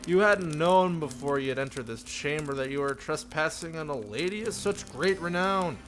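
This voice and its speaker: Guy streamer reading a visual novel out loud, kinda high voice, storybook style, bit of music behind. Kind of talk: live read-aloud narration of a visual novel.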